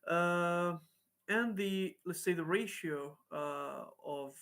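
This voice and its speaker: Male activist guest from Palestine with a higher voice, careful and halting in his answers. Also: a bit bright. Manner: halting, with frequent "uh" fillers. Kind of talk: interview answers.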